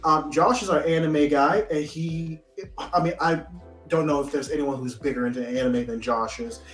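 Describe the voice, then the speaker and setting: A man's voice: a little high, steady tone, moderate pace. A man hosting a casual live stream chat with friends in an upbeat, informal way. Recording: roomy echo, faint music behind.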